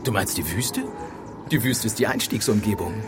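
A man's voice: fairly low pitch, moderate pace, over loud music, natural voice. A man playing a bossy, laconic guide in a virtual world who keeps talking pets, in a radio drama. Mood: dry, bossy.

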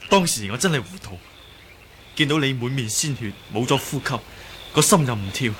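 An actor playing a good-natured young martial hero in a radio drama, sincere, placating and easily flustered. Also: male voice, medium pitch, lively intonation, moderate pace, a bit bright, a little hiss or hum, lightly acted. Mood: apologetic. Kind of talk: Cantonese radio drama acting.

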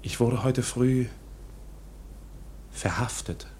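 A man's voice: mid-range voice, slow, darkish tone, slight background noise, natural voice. An actor in a German radio play as an anxious, intense man under arrest, speaking formally and hesitantly with bursts of agitation. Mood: uneasy, formal.

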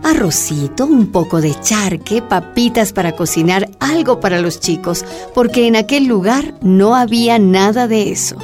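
A woman's voice: mid-range voice, lively intonation, moderate pace, few pauses, darkish tone, natural voice. A woman performing scripted narration for a radio drama, with music behind her. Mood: measured, narrating. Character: woman narrator telling a miner woman's history. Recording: music under the voice.

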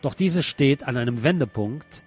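A man's voice: mid-range voice, animated, moderate pace, a little mellow, slightly rough. A man reading scripted news narration and commentary as a presenter.

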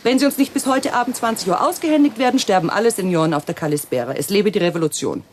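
A woman's voice: mid-range voice, animated, fast, a little mellow, a little hiss or hum, close to an everyday voice. An actress playing a cold, greedy female villain in a radio play, giving orders with sardonic, mock-polite menace. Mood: cold, commanding.

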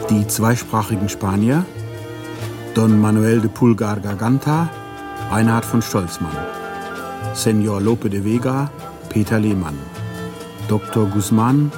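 A man announcing a radio play and its cast in a formal, scripted style. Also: lowish voice.

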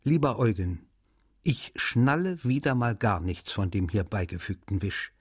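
An actor playing a wry, weary male writer reading his letters aloud in a radio feature, in a measured, unhurried manner. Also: fairly low pitch, lively intonation, slightly rough, no echo, clean studio sound. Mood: wry, casual.

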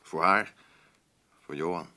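An actor playing a blunt, rambling working-class husband in a radio drama, boastful, self-pitying and defensive. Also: male voice, low-pitched, slow pace, pushed and bright, full character voice. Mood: aggrieved. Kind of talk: naturalistic radio drama dialogue.

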